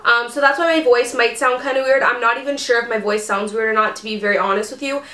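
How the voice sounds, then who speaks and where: A woman's voice: a little high, fairly even intonation, quick pace, sharp tone, reverberant. A young adult woman vlogging casually about her monthly favorites while sick, chatty and full of fillers like "um" and "like.". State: sick, ears plugged. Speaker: beauty vlogger. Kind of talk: casual chat to camera.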